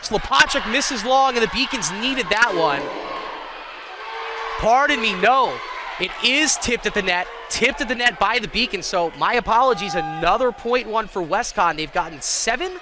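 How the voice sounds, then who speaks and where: A man calling live college volleyball play-by-play in an excitable, self-deprecating way. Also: very high-pitched. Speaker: play-by-play sports commentator. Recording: clear gym noise, bouncing balls. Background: indoor volleyball match ambience.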